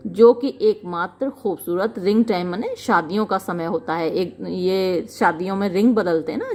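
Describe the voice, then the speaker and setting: A woman teaching literature. She reads English lines slowly and then explains them in chatty Hindi. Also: fairly low pitch, darkish tone. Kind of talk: line-by-line play explanation in Hindi.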